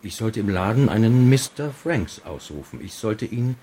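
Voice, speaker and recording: An actor playing a reserved drugstore owner who answers questions tersely and deliberately in a radio crime drama. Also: male voice, on the low side, sing-song, mellow, a little hiss or hum. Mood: reserved, matter-of-fact. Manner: laconic, deliberate.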